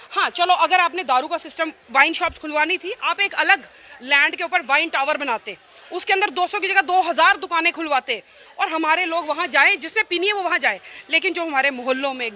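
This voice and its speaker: A woman politician argues insistently in a press statement. Her voice is high, bright and forceful. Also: slight background noise. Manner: insistent, argumentative. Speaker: opposition municipal councillor.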